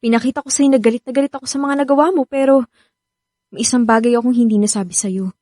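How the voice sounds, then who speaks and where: A woman's voice: on the high side, steady tone, quick pace, dark tone, dry recording, lightly acted. An actress playing a remorseful young woman in tears with a Filipino accent in a radio drama. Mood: remorseful, confessing. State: crying. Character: tearful, remorseful young woman begging an ex back.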